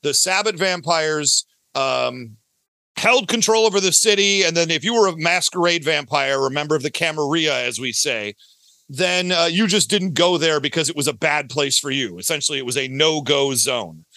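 A man's voice: on the high side, moderate pace, sharp tone, dry recording. A man from Detroit with an American accent, chatting casually and emphatically as a podcast guest who explains his creative work. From Detroit, United States.

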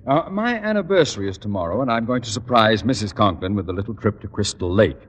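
Pompous low-voiced school principal. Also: male voice, expressive, slightly rough, long reverb tail. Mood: stern, probing. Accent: American. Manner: pompous, formal, deliberate. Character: pompous middle-aged school principal, blustery, easily exasperated husband. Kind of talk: 1940s-50s radio comedy acting. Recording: muffled, noisy old-time radio recording.

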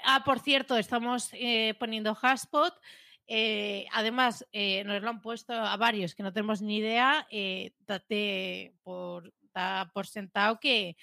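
A young woman from Spain, co-hosting a podcast, telling a story in lively casual chat with many restarts. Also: medium pitch, slow pace, creaky, no room sound, studio quality. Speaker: podcast co-host.

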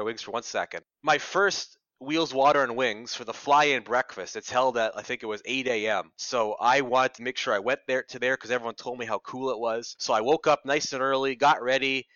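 A man's voice: medium pitch, a little fast, few pauses, a bit bright, no echo. A young man with a Canadian accent from Ottawa, a radio reporter chatting casually in an interview and telling stories in a self-deprecating way on dull lo-fi audio. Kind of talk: casual conversational storytelling.

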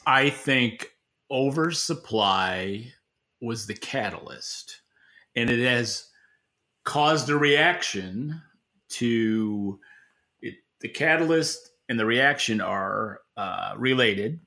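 A man's voice: medium pitch, lively intonation, takes it slowly, creaky, pushed and bright. A man co-hosting a podcast, giving casual market commentary in a blunt, wry way. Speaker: podcast co-host.

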